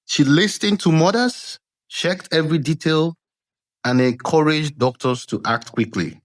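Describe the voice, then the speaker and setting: A man narrating a scripted folktale dramatically, with measured suspense and deliberate pauses, as a storyteller. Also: fairly high pitch. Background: background music.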